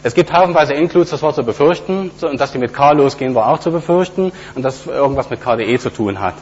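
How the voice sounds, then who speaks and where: Man giving a halting technical talk. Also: medium pitch, lively intonation, a little mellow. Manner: hesitant, many fillers, explanatory. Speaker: conference speaker. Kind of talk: technical talk with live demo. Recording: dull lo-fi recording with faint hum.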